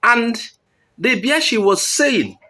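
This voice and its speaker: A man's voice: very high-pitched, lively intonation, slow pace, bright, forceful tone. A man, hosting a channel and giving earnest commentary straight to his viewers. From Nigeria. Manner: earnest, appealing directly to viewers. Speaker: channel host.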